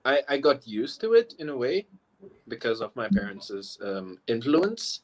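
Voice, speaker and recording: A young man from Taiwan speaks as a guest on a live video call, loose and conversational and full of casual fillers. His voice sits at an ordinary middle pitch. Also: sing-song, unhurried, darkish tone, rough recording. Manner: easygoing and chatty, leaning on "like" fillers. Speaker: guest, professional dancer. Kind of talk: casual interview chat. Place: remote live chat.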